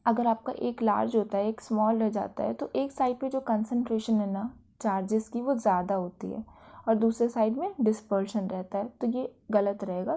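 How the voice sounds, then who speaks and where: Female lecturer, Indian accent. Fairly high but very mellow voice, teaching step by step with check-in tags. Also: gravelly. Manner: didactic, with frequent check-in tags. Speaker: physiotherapy lecturer. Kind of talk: explanatory video lecture.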